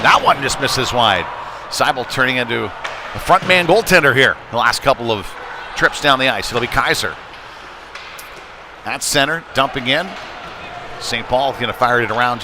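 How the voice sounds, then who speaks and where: A man giving live play-by-play of an amateur hockey game in an informal, folksy, conversational way. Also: medium pitch. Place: indoor ice rink during a live game. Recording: noisy rink ambience behind voice. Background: clear rink and game noise.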